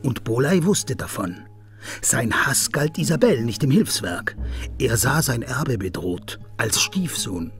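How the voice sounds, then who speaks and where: An actor playing a probing police detective in a crime radio drama, intense, brooding and terse. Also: male voice, medium pitch, very animated, unhurried, soft background music. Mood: explaining, brooding. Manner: terse, interrogating.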